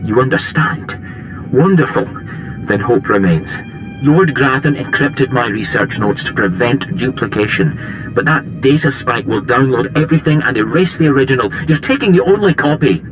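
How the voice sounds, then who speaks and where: An actor playing an earnest, idealistic scientist in a video game, gentle and pleading in manner. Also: male voice, mid-range voice, animated, moderate pace, lightly acted, rough recording. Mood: hopeful, pleading. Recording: game music behind the voice. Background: music.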